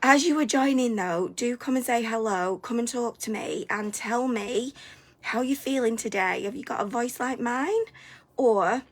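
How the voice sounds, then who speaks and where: A woman, hosting a live stream, a little hoarse and congested from a cold, chatty and upbeat, sometimes stumbling over her words. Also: a little high, unhurried. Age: 40s. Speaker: host of a weekly live stream. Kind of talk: live stream chat to viewers.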